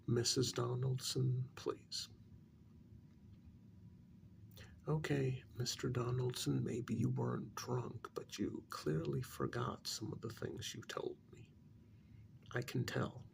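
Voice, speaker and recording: A man playing a patient but exasperated couples therapist in a soft-spoken ASMR role-play, measured and gently reproving, with a gravelly, sometimes breathy voice. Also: medium pitch, slight background noise, heavily acted. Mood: weary, probing.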